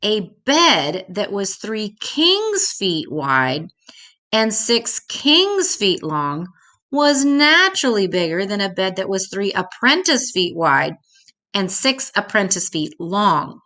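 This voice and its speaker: An older woman reading a children's picture book aloud in a deliberate, expressive way, drawing out key words for young listeners. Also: mid-range voice.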